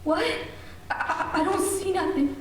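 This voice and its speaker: Lady doing whiny scared sidekick in old radio horror, super high voice, folksy, begging, echoey noisy audio. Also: normal speed. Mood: frightened, pleading. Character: frightened, pleading sidekick called Sam, simple and slangy. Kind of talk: old-time radio horror play acting. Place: echoey room, live streamed performance. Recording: echoey, distant, noisy streamed stage audio.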